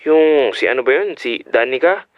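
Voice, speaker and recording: A man's voice: mid-range voice, animated, moderate pace, dry recording, phone-quality sound, close to an everyday voice. A man voice-acting a hesitant, apologetic young friend on a call in a Filipino audio drama. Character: sheepish, apologetic young male friend on phone.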